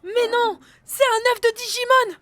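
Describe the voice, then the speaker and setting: A woman voicing an excitable young child in a dubbed anime. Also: very high voice, a little slow, dry recording. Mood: excited, insistent. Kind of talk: anime dubbing.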